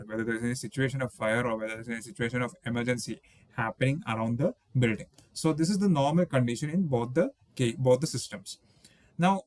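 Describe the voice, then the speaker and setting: A man in his thirties talks through technical slides as an instructor. He explains patiently and circles back to rephrase, with fillers like "okay". His voice sits at a middle pitch and sounds close and dry, with no room echo. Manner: explanatory, repeats and rephrases himself, frequent "okay" and "uh". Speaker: engineering instructor. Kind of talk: slide-based technical lecture. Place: indoors, voice-over on slides with small webcam inset.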